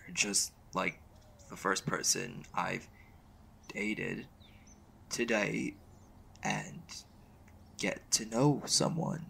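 A man acting as a shy, smitten young college mage in an intimate boyfriend roleplay, speaking casually and haltingly. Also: lowish voice, creaky, sharp tone, slight background noise, full character voice. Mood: shy, confessional. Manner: hesitant, halting, casual slangy delivery.

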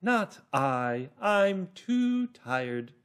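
A man voicing a tired, reluctant storybook cat with drawn-out, weary complaining. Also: high voice, very animated, fairly bright tone, clear, resonant tone, pitched above the performer's own voice, exaggerated voice. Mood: weary refusing.

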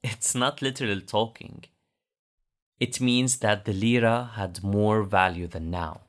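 A man's voice: lowish voice, fairly even intonation, measured pace. A man with a Lebanese accent narrating a bedtime story as a father would, explaining patiently.

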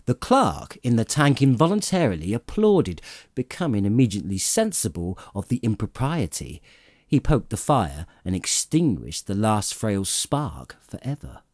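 A man's voice: lowish voice, sing-song, normal speed, a little mellow, no room sound, studio quality. A man narrating a classic story as a scripted, dramatic audiobook reading.

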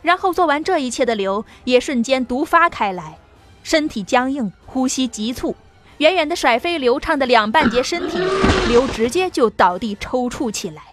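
High-voiced woman narrating a novel. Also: lively intonation, moderate pace, soft background music. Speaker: audiobook narrator.

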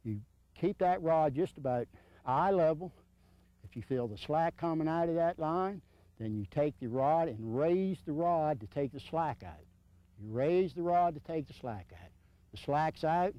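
A man's voice: fairly high pitch, lively intonation, unhurried, no echo. A man in his fifties giving informal, hands-on instruction in a plainspoken, folksy way, repeating his points, on a dull old video recording. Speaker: surf fishing instructor. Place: outdoors on a sandy beach.

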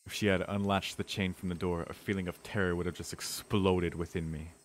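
A man's voice: sits low, steady tone, fairly quick, slight background noise. A man streaming a visual novel, reading the narration dramatically and breaking into casual, sweary reactions.

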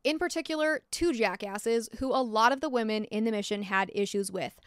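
A young woman with an American accent tells a true story from a script, with candid asides. Her voice sits slightly high and is very smooth and clean. She is frank and informal, recorded close on a desk mic with a dry, intimate sound. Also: a little fast, dry recording. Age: young adult. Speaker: true-crime storyteller, host. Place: small log-walled room indoors. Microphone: close desktop microphone.

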